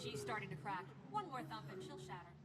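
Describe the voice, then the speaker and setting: A woman's voice: medium pitch, big pitch swings, a little fast, natural voice. An actress playing a confident, wry female schemer in a fantasy video game, teasing and dryly sardonic. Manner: dry, sardonic.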